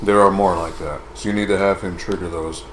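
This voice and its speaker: A man with a monotone voice chatting casually as a live stream co-host, reading out chat and commenting on a game. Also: low voice, a little fast. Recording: roomy, noisy live-stream room.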